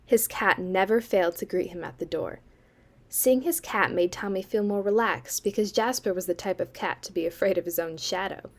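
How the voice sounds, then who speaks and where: A young woman acting as a sardonic, eerie campfire storyteller in a student audio drama, with dry, deliberate delivery. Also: medium pitch, close to an everyday voice. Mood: ominous, measured. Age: young adult.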